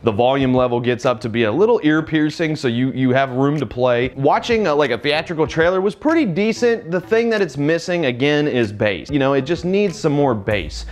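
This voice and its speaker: A man's voice: fairly high pitch, lively intonation, a little fast, few pauses. Chatty man reviewing gadgets on camera. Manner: chatty, upbeat, thinks aloud while testing. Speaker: tech reviewer host. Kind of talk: casual product review. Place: small studio with foam-padded walls, then a living room.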